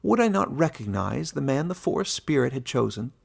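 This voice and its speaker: Man as a measured, creaky-voiced sage warden. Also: mid-range voice, very animated, pitched above the performer's own voice, heavily acted. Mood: patient reproach. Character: calm, mysterious warden of an animal council, kindly sage.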